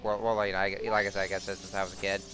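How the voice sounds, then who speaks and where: A man's voice: low-pitched, little pitch movement, rapid-fire, a bit bright. A young man giving casual gameplay commentary, rambling with lots of fillers and restarts. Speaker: let's play commentator. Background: game music.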